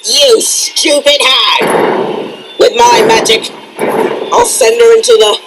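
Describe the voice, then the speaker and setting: A man's voice: very high voice, big pitch swings, takes it slowly, gravelly, very noisy, heavily acted. A man playing a small, timid but plucky would-be sorcerer in a fantasy film, with music behind. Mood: defiant bluffing. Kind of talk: theatrical fantasy film acting.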